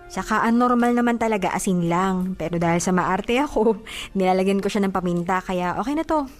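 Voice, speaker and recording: A woman's voice: medium pitch, fairly quick, soft background music, close to an everyday voice. A woman playing a playful, picky and jealous young girlfriend with a Filipino accent in a radio drama. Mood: playful, affectionate. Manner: teasing, expressive.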